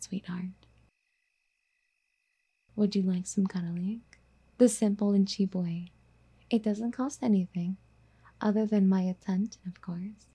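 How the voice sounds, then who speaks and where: Casting: female performer as obsessive, doting yandere girlfriend for ASMR audio drama. Very soft, mellow, breathy delivery, affectionate and coaxing with a possessive streak. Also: medium pitch. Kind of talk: soft intimate ASMR roleplay.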